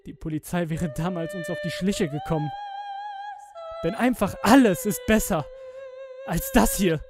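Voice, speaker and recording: A young man acting a guilt-ridden, haunted twenty-year-old who gives a halting, emotional confession in a horror audio drama. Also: high-pitched, huge pitch swings, exaggerated voice. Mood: desperate, haunted. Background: music with violin.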